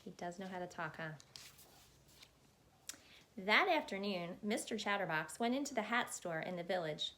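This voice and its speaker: Expressive woman reading a children's story. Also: medium pitch, normal speed, long pauses, slight background noise. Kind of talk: expressive read-aloud narration.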